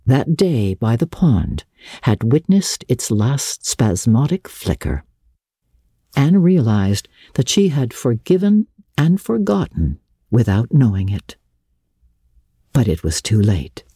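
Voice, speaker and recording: A woman narrates a classic novel in a low, mellow voice. Her storytelling is measured and expressive, with dramatic phrasing. The recording is close and dry. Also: slightly rough, dry recording. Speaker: audiobook narrator.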